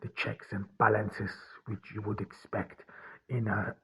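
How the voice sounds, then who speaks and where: A man from Austria, an author speaking in a personal podcast monologue, halting and often repeating and restarting his words. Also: fairly low pitch, little pitch movement, gravelly, a bit bright, breathy edge, low-quality recording.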